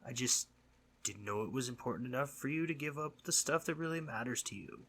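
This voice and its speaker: Sleep demon , played by a man: fairly low, raspy, forceful edge; mischievous, earnestly concerned, casual teasing delivery for roleplay audio. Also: a little fast. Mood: earnest, frustrated. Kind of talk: scripted roleplay audio monologue.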